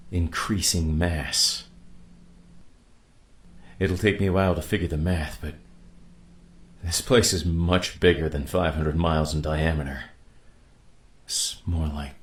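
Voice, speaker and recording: An actor playing a pragmatic, weary commanding officer of a stranded space crew in a measured radio play performance. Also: male voice, low voice. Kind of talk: naturalistic radio play acting.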